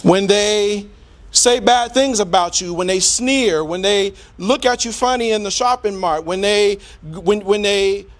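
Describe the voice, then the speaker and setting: A middle-aged man giving an impassioned, preacher-like public speech in a deliberate, rhetorical manner with emphatic repetition. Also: high-pitched. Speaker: community speaker.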